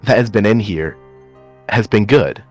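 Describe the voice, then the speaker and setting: A man's voice: lowish voice, expressive, a little slow, faint music behind. A man playing a wary, sceptical questioner in an improvised anime game roleplay, stammering and repeating words as he presses. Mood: probing. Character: wary, sceptical male questioner distrustful of demons.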